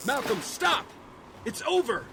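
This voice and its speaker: An actor playing an earnest, resolute young vigilante hero in an audio drama, speaking emphatically. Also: male voice, very high-pitched, sing-song, a little slow, gravelly, noisy background, full character voice. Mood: urgent pleading.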